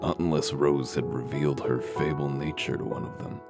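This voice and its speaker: Man acting a very deep, gravelly wolf detective. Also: normal speed, loud music behind the voice. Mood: dry, blunt. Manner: deadpan, blunt. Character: gruff male wolf detective, cynical and humorless. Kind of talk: dramatic comic dub acting.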